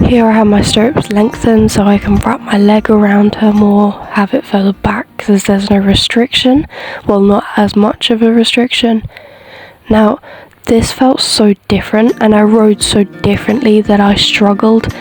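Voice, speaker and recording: A woman narrates in a casual, explanatory way over faint background music. Her pitch is middling and moves little. She reviews her own performance self-critically, like a rider talking through practice footage. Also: flat delivery, normal speed, darkish tone, poor audio. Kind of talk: casual voiceover commentary.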